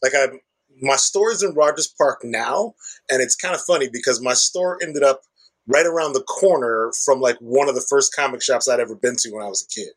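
Husky middle-aged man, chatty. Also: medium pitch, fairly quick, low-quality recording. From Chicago, USA. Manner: chatty, explanatory, peppers speech with "like" and "right?". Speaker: comic shop owner, guest. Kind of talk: conversational podcast interview.

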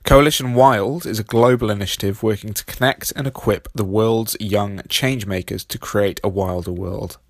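A man hosting a podcast, husky from being sick, reading a scripted intro and carefully spelling out web addresses. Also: fairly low pitch, no echo. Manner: steady, reads details slowly and spells them out. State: husky from illness. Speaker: podcast host.

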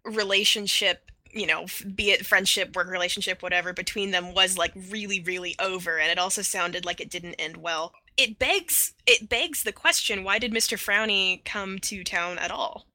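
A woman in a casual podcast discussion, talking in a halting way and often repeating her words as she works out a point. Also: mid-range voice, bright, forceful tone, no room sound. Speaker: podcast panelist. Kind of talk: casual animated-show discussion.

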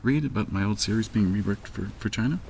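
A man's voice: fast, gravelly, darkish tone, a little hiss or hum. A male actor in a satirical audio drama, playing a sheepish, evasive middle-aged man who speaks in hesitant, stammering phrases. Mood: flustered, evasive. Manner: hesitant, stammering, low-key.

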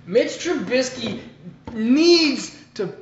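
A man's voice: very high-pitched, lively intonation, extremely slow, slight background noise. A young man co-hosting a podcast, telling stories in a rambling, jokey, profane way in casual chat. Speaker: podcast co-host. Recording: echoey room, dull lo-fi sound.

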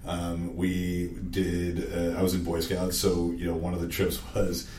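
A man's voice: deep voice, measured pace, echoey room, recorded from a distance, a little hiss or hum. A man from Maine, a podcast guest, chatting casually and jokingly about where he grew up. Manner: relaxed, joking, trails off and restarts. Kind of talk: casual interview chat. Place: large conference room with windows.

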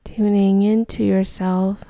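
Female meditation guide: very mellow, relaxed tone with gravelly creak, soft and breathy, soothing short phrases. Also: medium pitch, slow pace, no room sound, studio quality.

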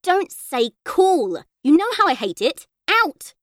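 A woman's voice: very high voice, very animated, slow pace, no room sound, studio quality, pitched above the performer's own voice, exaggerated voice. A woman voicing an annoyed mother in an audiobook, scolding and ordering her son about with emphasis. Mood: angry.

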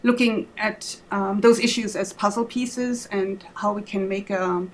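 A woman from Germany in her fifties with a German accent, speaking as an activist guest in a casual, halting way with many fillers and restarts. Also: mid-range voice, fairly even intonation, low-quality recording. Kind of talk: casual spoken perspective.

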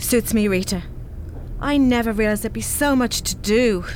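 An actress in a radio play playing an anxious, overwhelmed grown daughter, worried and unsure. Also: female voice, on the high side, normal speed, darkish tone, slight background noise, close to an everyday voice, low-quality recording.

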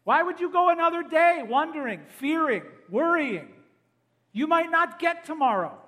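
A high-pitched man preaching an urgent altar call to a congregation. He pleads and presses, climbing to loud, insistent peaks before sinking to a near-whisper. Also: expressive, moderate pace. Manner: urgent, pleading, swells loud then drops to a hush. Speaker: pastor. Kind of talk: evangelistic altar call sermon.

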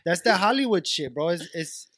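A man's voice: high-pitched, lively intonation, moderate pace, fairly bright tone, a little hiss or hum. A young man co-hosting a comedy podcast, laid-back and slangy, explaining his point in long run-on thoughts. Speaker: podcast co-host. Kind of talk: casual podcast chat.

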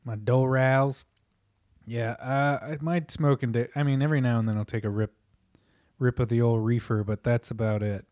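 Hoarse, loose-talking man in a dry room. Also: mid-range voice, fairly even intonation, normal speed, darkish tone, no echo. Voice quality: hoarse and raspy. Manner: loose, profane, rambling with restarts. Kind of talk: casual chat.

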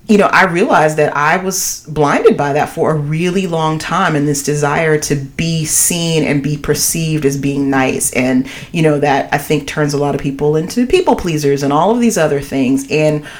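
A woman with a deep voice co-hosting a podcast in casual chat, talking emphatically and filling her speech with "you know.". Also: moderate pace, few pauses. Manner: emphatic, animated, many "you know" fillers. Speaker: podcast co-host.

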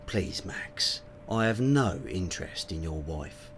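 A menacing radio drama villain with a deep voice. He is falsely courteous and insinuating, a blackmailer toying with his mark. A low rumble sits faintly underneath. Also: animated, slow pace, a bit bright, full character voice. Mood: sly, threatening. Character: smooth, menacing male blackmailer, mockingly polite. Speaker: actor in audio drama.

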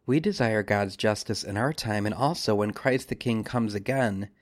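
A man speaking as a priest, leading a scripted devotional meditation with measured, solemn phrasing and deliberate pauses. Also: fairly low pitch, no room sound.

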